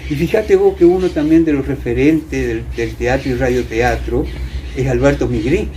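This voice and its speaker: An older man with an Argentine accent, reminiscing as an interview guest in a halting way, often repeating words for emphasis. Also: mid-range voice. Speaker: interview guest, theater and singing teacher. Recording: clear background noise, ambient room sound.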